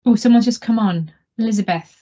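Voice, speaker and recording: A woman's voice: on the high side, a little fast, warm tone. A woman chairing an online seminar who talks in a chatty, rambling way and makes light of herself. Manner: chatty, rambling, self-deprecating. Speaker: webinar chair. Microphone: video call. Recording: muffled video-call audio.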